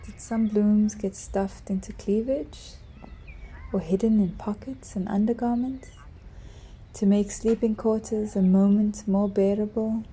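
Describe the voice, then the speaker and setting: A woman narrating a poetic piece slowly and softly, sometimes dropping to a whisper. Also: medium pitch, steady tone, breathy edge. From South Africa. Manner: soft, hushed, drifting into whispers.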